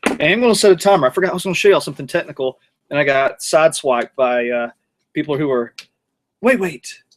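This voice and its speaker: A man's voice: on the high side, quick pace. A man hosting a casual outdoor grilling vlog, rambling and joking as he cooks. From North Georgia, USA. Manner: rambling, jokey, talks while working the grill. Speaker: cooking show host.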